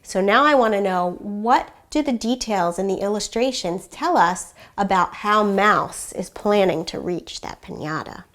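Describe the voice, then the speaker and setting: A woman teacher reading a children's story aloud in an expressive, deliberate way, with hushed asides and questions for young listeners. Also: mid-range voice.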